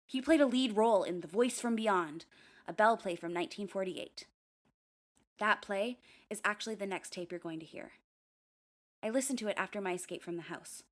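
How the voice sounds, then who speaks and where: A young woman playing a narrator who recounts eerie experiences in a measured, confiding way, in an audio drama. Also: mid-range voice, close to an everyday voice. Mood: confiding, serious.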